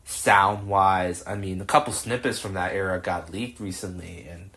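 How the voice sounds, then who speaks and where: A man's voice: deep voice, fairly even intonation, normal speed, bright, forceful tone. A man in his twenties hosting a podcast, asking rambling, casual questions full of restarts. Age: 20s. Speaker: podcast host. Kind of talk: casual interview chat.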